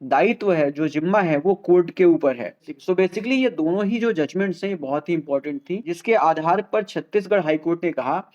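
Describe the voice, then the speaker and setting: A man's voice: on the high side, normal speed, few pauses, darkish tone, highs cut off. An Indian man presenting an explainer in a didactic way, repeating key points and mixing Hindi with English. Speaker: host of a legal explainer channel.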